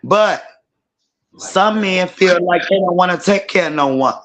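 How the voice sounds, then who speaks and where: A hoarse woman, giving frank, emphatic opinions in a casual group debate. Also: fairly low pitch, a little slow. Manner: frank, emphatic, says "period". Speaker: panelist.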